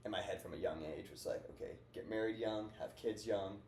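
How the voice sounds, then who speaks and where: A man's voice: on the low side, brisk, a little mellow. A young man in his twenties hosting a casual podcast chat, rambling and upbeat, full of fillers. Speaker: podcast host. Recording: echoey room with faint noise.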